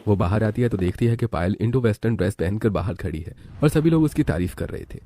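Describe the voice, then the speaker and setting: A man's voice: on the low side, lively intonation, fast talker, dark tone, a little hiss or hum. A man reading scripted narration for a story.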